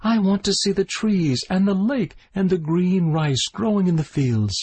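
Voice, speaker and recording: A man's voice: lively intonation, normal speed, darkish tone, breathy edge, heavily acted. A man voicing a small caged male songbird who longs to be free, in a storybook reading. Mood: sad. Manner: pleading, high and wistful.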